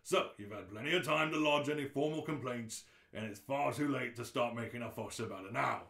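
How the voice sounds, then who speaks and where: Man playing a forceful, officious alien bureaucrat. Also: mid-range voice, a little fast, close to an everyday voice. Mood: exasperated, scornful. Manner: pompous, bureaucratic, exasperated. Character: officious, callous alien bureaucrat announcing Earth's demolition. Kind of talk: radio play read-through. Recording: roomy, echoing room sound.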